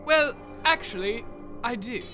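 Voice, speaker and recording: A man's voice: very high voice, lively intonation, unhurried, music in the background. A man playing a dutiful, earnest army aide in a sci-fi audio drama. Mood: worried.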